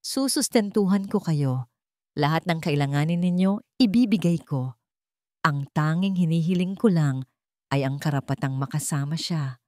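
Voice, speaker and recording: A woman's voice: deep voice, moderate pace, darkish tone, no room sound, clean studio sound, natural voice. A Filipino woman voicing a remorseful, wealthy young father in a storybook drama, earnest and imploring. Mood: earnest, pleading.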